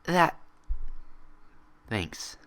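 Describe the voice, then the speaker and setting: A man's voice: drawn-out words, a bit bright. A man voicing a meek, guilty young female unicorn patient who speaks hesitantly and apologetically in a storybook reading. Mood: meek, uneasy.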